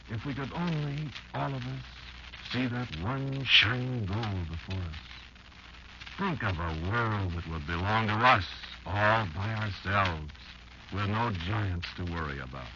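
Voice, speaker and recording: An actor playing a bitter, elderly insect lecturing a child in a slow, portentous old-time radio drama monologue. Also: male voice, mid-range voice, natural voice. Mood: yearning, urgent. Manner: slow, deliberate, portentous storytelling with dramatic pauses. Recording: muffled, noisy old radio show recording.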